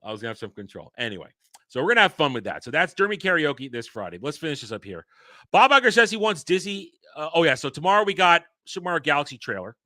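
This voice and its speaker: Rambly hyped guy streaming from home, bright dry sound. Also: a little high, fast talker, dry recording. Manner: rambling, excitable, restarts often. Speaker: livestream host. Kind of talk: casual livestream chat.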